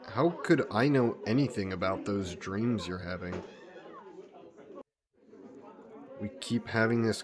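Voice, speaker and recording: Casting: male actor as a lovestruck god disguised as a childhood friend, lowish voice, unhurried, gentle and evasive, for intimate roleplay audio. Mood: secretive, wry. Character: soft yandere god posing as a childhood friend.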